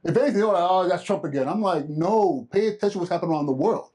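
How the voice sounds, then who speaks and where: A man co-presenting to a live room, his voice pitched slightly high and carrying big and forceful. He presses his points with urgency. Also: expressive, racing. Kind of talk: live summit talk to an audience. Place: conference room with a live audience.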